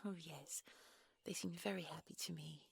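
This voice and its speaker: A woman playing a gentle, secretive fairy princess in an intimate audio roleplay, with a soft, breathy voice and a genteel, coy, polite manner. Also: deep voice, normal speed, no echo, full character voice. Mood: gently chatty. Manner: genteel, coy, old-fashioned polite phrasing. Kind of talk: intimate audio roleplay acting, one side of a dialogue.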